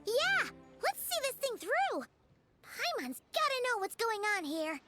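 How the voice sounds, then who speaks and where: A woman voicing a small, excitable, curious floating girl companion in a video game, with a squeaky childlike voice. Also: measured pace, heavily acted. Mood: eager. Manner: refers to self in third person, exclamatory. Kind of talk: video game character voice acting. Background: music.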